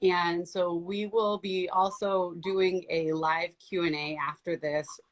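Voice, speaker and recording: Woman, interview host, friendly questions, fillers, somewhat low voice. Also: level tone, slow pace. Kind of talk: casual interview.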